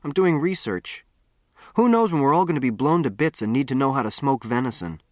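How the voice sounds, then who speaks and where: A man's voice: mid-range voice, expressive, fairly quick, no room sound, clean studio sound, voice pushed up, lightly acted. A man playing an earnest, self-reliant runaway boy from New York in an audiobook, plain-spoken and matter-of-fact. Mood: confident, dry.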